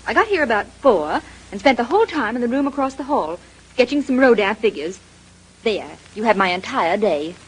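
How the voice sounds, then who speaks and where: Lively, highish-voiced composed woman. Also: moderate pace, husky, low-quality recording. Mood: matter-of-fact. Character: composed woman artist giving her alibi. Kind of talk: 1930s radio acting.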